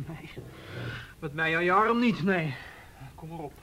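A man's voice: a little high, animated, slow, pushed and bright, full character voice. A man acting a dry, sardonic, worried policeman in a naturalistic radio drama. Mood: casual. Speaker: police officer.

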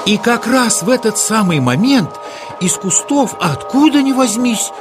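Animated, slow-paced male storyteller. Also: very high-pitched, music in the background. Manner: dramatic, theatrical delivery. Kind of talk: expressive children's story narration.

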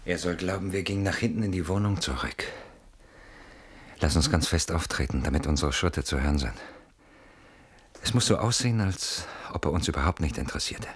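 Anxious guy barricaded at home, deep husky voice, brooding n suspicious, radio play style. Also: fairly quick. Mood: secretive. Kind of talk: German radio play acting.

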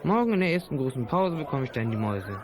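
An actor playing a brash, sly teenage schoolboy with a mocking, cocky manner in a youth audio drama. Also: male voice, mid-range voice, sing-song, fast, background noise, close to an everyday voice. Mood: slick.

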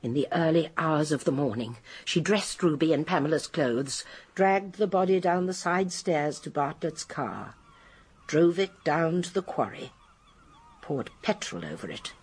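A genteel old English lady detective in a British radio play. Her voice is husky and breathy and swings expressively as she lays out each point with precise, emphatic certainty. She is shrewd and gently indignant. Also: deep voice, lively intonation, a little slow, full character voice. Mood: grave, explanatory. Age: older. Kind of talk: British radio drama acting.

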